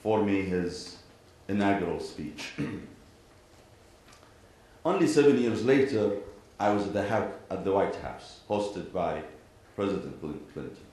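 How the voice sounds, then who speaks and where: A man with an Iraqi Arabic accent, an imam giving a formal public address in deliberate, measured phrases. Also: deep voice, lively intonation, darkish tone. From Iraq and Kuwait. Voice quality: frequent throat clearing. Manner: deliberate, measured phrasing with pauses and repeated parallel sentences. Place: large indoor venue, speaking to a gathering. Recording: very echoey hall, faint background noise.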